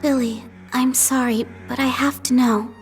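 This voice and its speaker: A very high earnest young medic. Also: female voice, fairly even intonation, a little slow, soft background music. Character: young female special forces medic, earnest.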